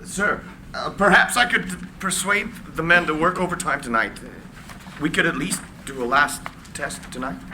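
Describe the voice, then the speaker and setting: A man's voice: a little high, moderate pace, sharp tone, slight background noise, poor audio. An actor playing an eager, loyal male technical assistant in a live screenplay reading. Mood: eager, persuasive.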